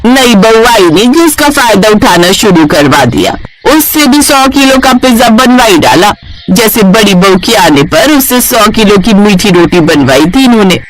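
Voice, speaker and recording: A woman's voice: medium pitch, animated, normal speed, a loud crowd, lightly acted, low-quality recording. A woman voicing a gossipy, disapproving neighbourhood woman in a cartoon, with a scandalised manner.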